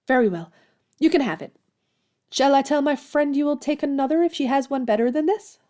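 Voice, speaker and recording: A woman's voice: high voice, lively intonation, brisk, warm tone. An actress playing a proud, earnest young woman writer in a period audio drama, speaking formally and politely. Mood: polite, guarded.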